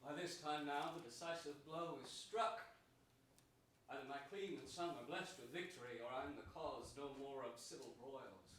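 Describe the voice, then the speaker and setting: A young man playing a gentle, melancholy captive king, declaiming earnest Shakespearean verse in a staged reading. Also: mid-range voice, moderate pace, lightly acted. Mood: mournful musing. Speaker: stage actor. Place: large indoor theater stage before an audience. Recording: echoey theater, distant microphone, some noise.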